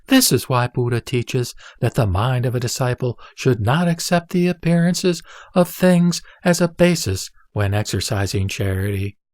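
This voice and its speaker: A man reading scripture as a scripted narration, in a solemn, measured, teaching manner. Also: medium pitch, lively intonation, dry recording, clean studio sound. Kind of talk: scripted sutra recitation, audiobook narration.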